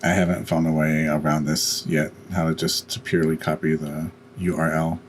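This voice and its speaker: A man giving a step-by-step software tutorial in a halting way, pausing in mid-sentence. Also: very deep voice, flat delivery, slight background noise. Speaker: tutorial host.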